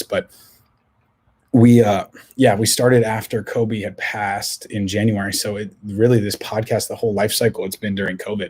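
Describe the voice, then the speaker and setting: A hoarse young man talks through his solo podcast in a loose, rambling way, circling back and restarting his sentences. His voice sits low and husky and barely moves in pitch. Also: mellow. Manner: rambling, many restarts and filler words. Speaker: podcast host. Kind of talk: casual solo podcast talk.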